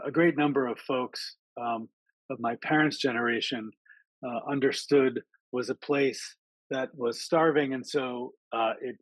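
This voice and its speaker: A man's voice: mid-range voice, no room sound, rough recording. Man, friendly chatty presenter, video call mic, dry close sound, many ums. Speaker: speaker at an online town hall. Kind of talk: casual presentation to an audience.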